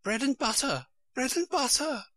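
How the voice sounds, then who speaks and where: A helpless, fretful queen from a storybook, acted out by a man reading aloud. The voice is pitched far up and swoops about with a melancholy, put-upon air. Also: expressive, normal speed, a bit bright, dry recording, full character voice. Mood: timid muttering.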